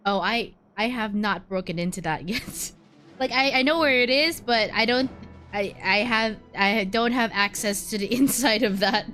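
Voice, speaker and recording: Playful, slightly high-voiced woman streamer. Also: normal speed, fairly bright tone, soft background music. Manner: playful, reacting aloud. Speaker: VTuber streamer. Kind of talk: casual game stream commentary.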